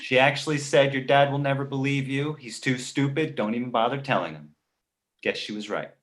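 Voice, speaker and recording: A man voicing a precocious, manipulative baby boy with a smart-alecky comic delivery in an animated comedy read. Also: mid-range voice, brisk, lightly acted. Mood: smug, needling. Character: precocious, wisecracking, manipulative two-month-old baby boy.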